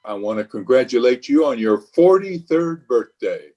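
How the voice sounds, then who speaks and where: An actor playing a blustery, pompous, scheming middle-aged water commissioner, hammy and exclamatory, in an old-time radio comedy. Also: male voice, medium pitch, expressive, moderate pace, dark tone, dull audio, lightly acted. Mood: rueful, avuncular.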